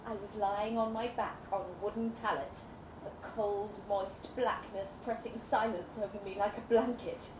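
The sound is echoey and a little far from the mic, with clear noise behind it. A woman of medium pitch reads a Gothic Victorian melodrama aloud to tell a story. Her delivery is theatrical, measured and full of suspense. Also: steady tone. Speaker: reader performing a short story at a live event. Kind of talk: dramatic literary reading, Gothic Victorian melodrama narration. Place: indoor pub event space, live reading.

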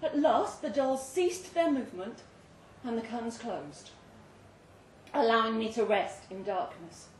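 A woman giving an expressive, theatrical reading of a suspenseful short story at a live indoor event. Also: a little high, measured pace. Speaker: story reader. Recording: roomy live venue, distant mic, faint noise.

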